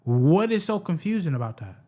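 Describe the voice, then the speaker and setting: A man with a high-pitched voice giving heated, profane reaction commentary, repeating himself and stumbling over his words. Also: sing-song, fairly quick, warm tone. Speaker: reaction streamer.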